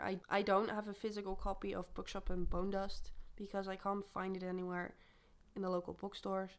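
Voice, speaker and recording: A young woman chatting casually about her reading plans, her voice a little croaky from a recent illness, rambling and correcting herself as she goes. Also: mid-range voice. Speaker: booktuber. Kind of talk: casual chat to camera.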